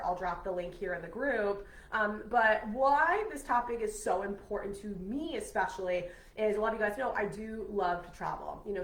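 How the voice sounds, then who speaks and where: A nasal, stuffed-up woman chats to her online group in an easy, upbeat way. She sounds like a health coach. Her voice sits at a middling pitch, and the room around her rings with a noticeable echo. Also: a bit bright. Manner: chatty and upbeat, many "um"s and "like"s, speaks straight to "you guys". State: nasal from a head cold. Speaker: health and weight-loss coach. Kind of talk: casual live video chat to an online group. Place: her own home.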